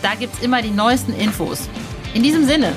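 A woman's voice: fairly high pitch, expressive, normal speed, over music. A woman hosting a podcast in a casual, chatty and playful way. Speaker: podcast host.

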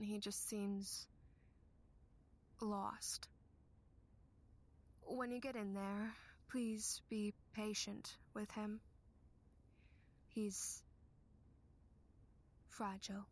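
A woman playing an eerie, soft-spoken caretaker. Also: on the high side. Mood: hushed. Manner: formal, polite, drops to a hush. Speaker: care home receptionist.

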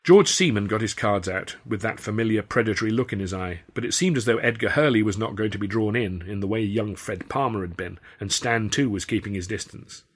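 A man's voice: lowish voice, a little fast, hardly any pauses. A man reading a novel aloud as an author and narrator, in scripted audiobook style.

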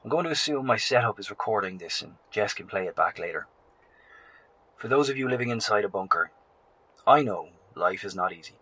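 An actor playing a weary professor and bunker survivor, making grim, measured radio broadcasts in a horror audio drama. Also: male voice, on the low side, expressive, slightly rough, full character voice, low-quality recording. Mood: uneasy, weary. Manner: measured, grave delivery. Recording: loud music under the voice.

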